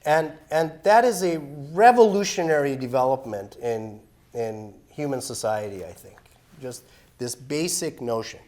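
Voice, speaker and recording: An earnest middle-aged man from Iran speaks at a live public forum. He explains his points in a clear, medium-pitched voice, pausing to rephrase as he goes, the way a human rights organization's director addresses an audience. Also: expressive, darkish tone.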